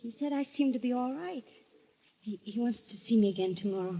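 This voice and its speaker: High-pitched, fragile, anxious wife. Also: female voice, fairly quick, mellow, dull audio. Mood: subdued, anxious. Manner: hesitant, pleading, tremulous. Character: fragile, high-strung wife doubting her own sanity. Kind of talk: old-time radio melodrama acting.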